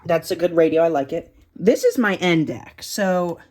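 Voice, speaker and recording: A woman's voice: fairly low pitch, normal speed, a little mellow, a little hiss or hum. A woman giving a casual, matter-of-fact show-and-tell of a hobby collection in short, clipped phrases, recorded indoors at home.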